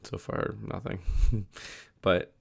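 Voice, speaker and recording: A young man talking casually in a video diary, losing his voice from a cold and rambling with many fillers and restarts. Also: slow. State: losing his voice, a little sick. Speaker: vlogger.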